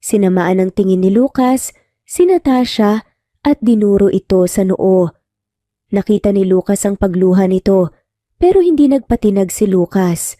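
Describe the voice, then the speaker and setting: A woman's voice: medium pitch, animated, normal speed, warm tone, no echo, clean studio sound. A woman narrating a scripted romance story as a storyteller.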